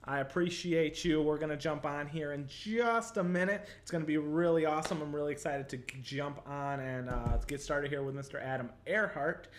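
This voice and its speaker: A man's voice: on the high side, normal speed, hardly any pauses, slight background noise. A man with a Chicago accent hosting a live online interview show, chatting casually and upbeat, full of fillers like "like" and "totally". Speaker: livestream show host.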